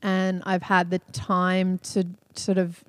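A woman co-hosting a podcast in casual chat, chatty and quick to laugh, often trailing off and starting over. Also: on the low side, monotone, no echo. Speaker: podcast co-host.

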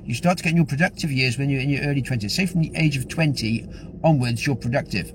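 A man's voice: mid-range voice, fast, noisy background. A man giving an upbeat, rambling motivational talk as host of his own show. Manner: upbeat, rambling with frequent restarts. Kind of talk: casual motivational talk to camera.

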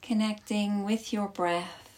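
A woman leading a guided yoga nidra class as instructor, speaking soothingly in short instructive phrases with long pauses. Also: medium pitch, fairly even intonation, a little mellow.

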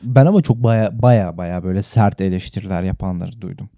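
A man's voice: deep voice, fairly quick, mellow, no room sound. A man, co-hosting a podcast in a casual, conversational way. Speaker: podcast co-host. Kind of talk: casual chat.

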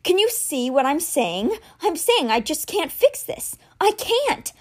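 A woman's voice: high-pitched, big pitch swings, a little slow, pitched above the performer's own voice. A woman reading aloud, voicing a desperate, angry teenage boy leader from a storybook.

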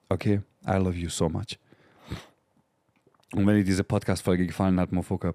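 Low-voiced man giving a fiery motivational talk. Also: warm tone, no echo, studio quality. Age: 30s. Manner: emphatic and profane, mixing in English slang. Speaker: podcast host. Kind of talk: motivational podcast monologue.

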